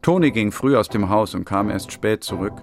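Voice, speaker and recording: Lonely radio drama narrator, low gravelly voice, wistful first-person storytelling, clear music bed. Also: male voice, animated, moderate pace. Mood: wistful, reflective. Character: lonely, melancholic male narrator recalling life with a dog.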